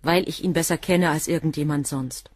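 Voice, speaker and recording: Guarded, husky, coolly defiant assistant. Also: female voice, low voice, steady tone, moderate pace, no echo, clean studio sound. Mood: guarded, devoted. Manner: precise, cool, clipped answers. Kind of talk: German crime radio play dialogue.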